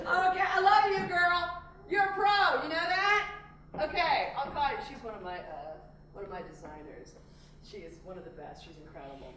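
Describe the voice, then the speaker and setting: A woman performing a brash, gushing fashion-industry woman on stage, loud and laughing. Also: extremely high pitch, takes it slowly, exaggerated voice. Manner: loud, gushy, laughing, self-absorbed. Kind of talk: live stage character comedy. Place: theater stage. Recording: echoey theater from far off, noisy.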